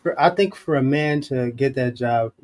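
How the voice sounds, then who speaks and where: A man with a medium-pitched voice takes part in a casual panel interview. He talks bluntly and informally, leaning on slang. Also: moderate pace, darkish tone. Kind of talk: casual chat.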